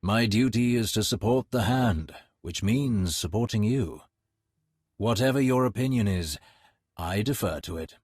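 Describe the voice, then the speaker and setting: A man playing a composed, dry-witted Sith lord in a video game, formal, wry and measured. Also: fairly low pitch, lively intonation, a little mellow, slightly rough, no room sound.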